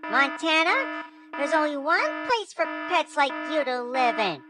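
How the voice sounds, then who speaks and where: Man putting on a very high, stern lady schoolteacher. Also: very animated, a little slow, music in the background, heavily acted. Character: stern female schoolteacher, fussy and alarmed. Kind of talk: children's story character voice.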